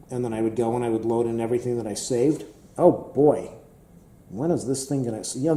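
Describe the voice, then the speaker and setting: A man rambling casually with lots of fillers and repeats, his voice slightly hoarse. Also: medium pitch, warm tone, roomy sound. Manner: rambling, repetitive, many fillers. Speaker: tech vlogger.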